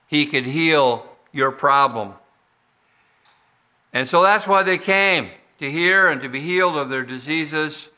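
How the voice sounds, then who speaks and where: A man preaching a sermon, slowly explaining a Bible passage verse by verse with frequent restarts. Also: on the high side, sharp tone. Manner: slow, deliberate expository preaching with frequent restarts. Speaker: pastor.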